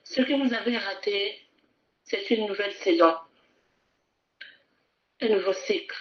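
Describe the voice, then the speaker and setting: A woman's voice: medium pitch, measured pace, muffled recording. A woman preaching a sermon fervently, repeating phrases and asking listeners to repeat after her.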